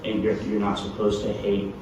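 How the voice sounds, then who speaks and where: Casting: male student, flat intonation, tentative and casual, speaking up from deep in a reverberant classroom. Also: normal speed. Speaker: student in discussion. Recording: distant mic, echoey room, noisy.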